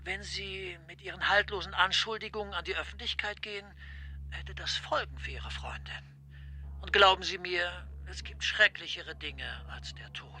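An actor playing a smooth, threatening official who blackmails a young man and sinks into whispered threats. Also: sits high, moderate pace, pushed and bright, slight background noise. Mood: menacing. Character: menacing male EU director general, blackmailer.

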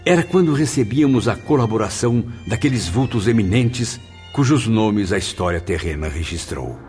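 A man acts out an earnest spirit looking back on his afterlife in a radio drama. His low Brazilian voice moves expressively up and down, formal and full of wonder, over clear background music. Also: animated, normal speed, darkish tone, lightly acted. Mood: marvelling. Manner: solemn, formal, reflective. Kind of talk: radio drama narration in character.

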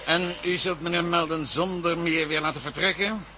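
An actor playing a firm, businesslike police commissioner in a radio drama, with an official, deliberate manner. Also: male voice, a little high, fairly even intonation, pushed and bright. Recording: noisy old radio drama recording.

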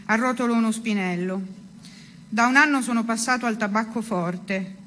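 A woman's voice: a little high, normal speed, a bit bright, slight background noise. A woman giving a dramatic literary reading of first-person prose. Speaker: reader of a literary text.

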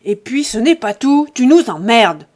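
A coarse peasant man bellowing to throw a rival out of the house, as a woman reader acts him. The voice stays high and loud, blunt and rough in its words. It sounds like a storybook read aloud. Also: lively intonation, unhurried. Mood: angry shouting. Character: angry, stubborn peasant man.

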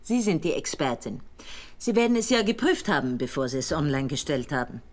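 An actress playing a calm, controlled intelligence colonel who questions a suspect in a radio drama. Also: female voice, deep voice, a little fast. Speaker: intelligence officer.

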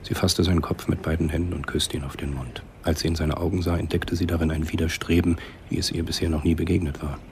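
A man's voice: low-pitched, little pitch movement, fast talker, gravelly, few pauses, darkish tone, a little hiss or hum. A man reading scripted literary narration as the narrator of a radio drama.

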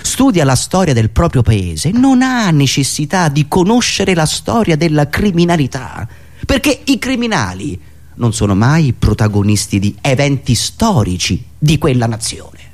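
Man, highly animated satirical monologue. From Italy. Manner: ironic, dramatic pauses, emphatic swings between hushed asides and raised delivery. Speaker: writer and performer of a satirical radio monologue show. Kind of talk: theatrical satirical political monologue.

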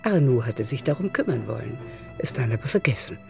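Mellow, creaky-voiced woman narrator. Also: a bass voice, lively intonation, brisk. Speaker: narrator of a radio play. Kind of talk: scripted literary narration. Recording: faint music under narration. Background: faint music.

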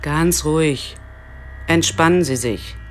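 An actress playing a brisk female hospital doctor in a radio drama, matter-of-fact and reassuring. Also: sits low, a little hiss or hum. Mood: calm, businesslike.

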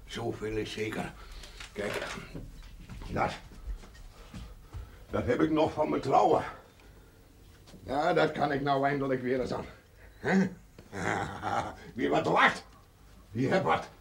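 A creaky, slow-talking old village father. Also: mid-range voice. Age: older. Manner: slow, rambling, stubborn. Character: grieving old village father, proud and muddled, drinking. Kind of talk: television period drama acting. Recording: noisy 1970s television soundtrack.

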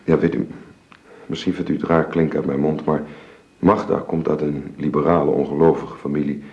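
An actor playing a guilt-ridden married gentleman in a radio drama, speaking formally and haltingly with repeated words. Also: very deep voice, level tone, lo-fi sound. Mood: anguished, halting.

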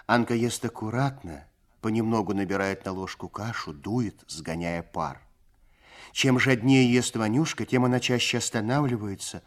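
A man narrating a literary story for a radio play in a measured storyteller's manner. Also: on the low side.

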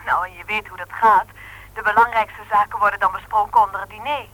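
An actress playing a chatty, persuasive secretary in a Dutch radio play, with a roundabout, coaxing manner. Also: female voice, medium pitch, steady tone, quick pace, fairly bright tone, close to an everyday voice, low-quality recording.

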